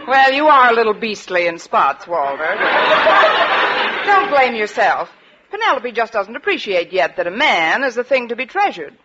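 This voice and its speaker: An actress playing a wry American English schoolteacher with dry, wisecracking delivery in a 1940s radio comedy. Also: female voice, on the high side, sing-song, normal speed. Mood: wry amusement. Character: wry, sardonic adult female English schoolteacher. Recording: muffled noisy 1940s radio recording, audience behind. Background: studio audience.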